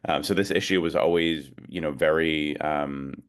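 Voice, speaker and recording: A man speaking informally as an advocacy leader on a video call, reflective and hesitant, pausing often as he gathers his thoughts. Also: rumbling low, fairly even intonation, clear, resonant tone. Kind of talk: informal talk at an online rally.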